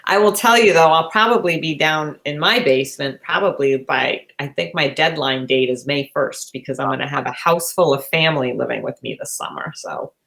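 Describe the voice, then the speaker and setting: A woman's voice: low voice, moderate pace, gravelly, hardly any pauses, a bit bright. A woman co-hosting a podcast from her living room, chatting casually. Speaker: podcast co-host. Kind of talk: casual chat. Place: living room at home, on a remote call.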